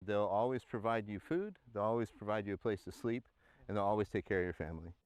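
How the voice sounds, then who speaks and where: A middle-aged American man giving unscripted interview answers in a halting, conversational way, as a former air traffic controller. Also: on the low side, a little mellow, clear, resonant tone, dry recording.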